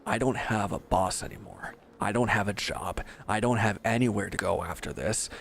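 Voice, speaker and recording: Man acting a low, gravelly, bitter defeated villain. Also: normal speed, a bit bright. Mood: bitter, despairing. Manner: bitter, sardonic, confrontational. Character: bitter defeated male villain, injured, resentful and proud. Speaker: voice actor in audio roleplay. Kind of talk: dramatic audio roleplay monologue.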